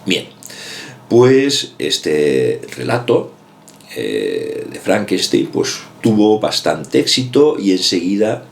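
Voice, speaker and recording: Very deep, gravelly man with lively intonation. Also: slow pace. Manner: rambling, hedging, repeats himself, many tag questions. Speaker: book vlogger. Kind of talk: casual book commentary.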